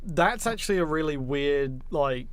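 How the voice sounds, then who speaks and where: A man's voice: a little high, expressive, a little slow. A man co-hosting a podcast, chatting casually about films in an enthusiastic, rambling, sweary way. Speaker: podcast co-host.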